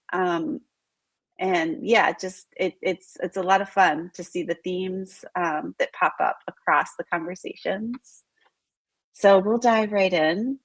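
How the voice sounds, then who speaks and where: A woman hosting a live online interview in a chatty way, full of "like" and "um", her voice a little raspy from talking all morning. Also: on the low side, dry recording. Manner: chatty, filler-heavy, says "like" often. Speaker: host of live interview series. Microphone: video call.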